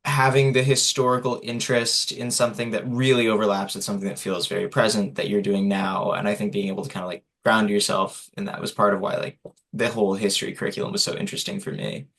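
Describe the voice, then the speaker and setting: An interviewer chatting casually, reacting warmly before asking the next question. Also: deep voice, flat delivery, quick pace. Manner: friendly, encouraging, casual with "like" and "kinda".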